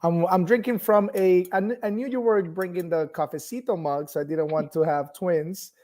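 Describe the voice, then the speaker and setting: Higher-voiced man with a Colombian accent. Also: normal speed, warm tone. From Bogota, Colombia. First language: Spanish. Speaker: guest, nonprofit executive. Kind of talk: casual interview, telling own story.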